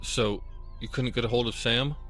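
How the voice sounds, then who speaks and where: A man's voice: medium pitch, steady tone, normal speed, a bit bright, soft background music, natural voice. A man acting in an audio drama as a wry, easily baffled buddy with a casual manner. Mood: concerned.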